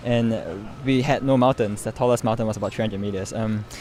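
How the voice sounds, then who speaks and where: A man's voice: fairly low pitch, fairly even intonation, fairly quick, darkish tone. A young man from Singapore giving informal interview answers as a guest, searching for his words as he goes. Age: young adult. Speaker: interview guest, medical student and competition winner. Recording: clear background noise, urban ambience.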